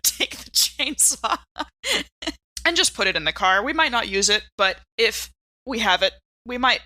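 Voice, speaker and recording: Female tabletop player, bright forward voice, playful casual improv, dry close-miked sound. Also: fairly high pitch, expressive, measured pace, no echo. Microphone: close studio microphone.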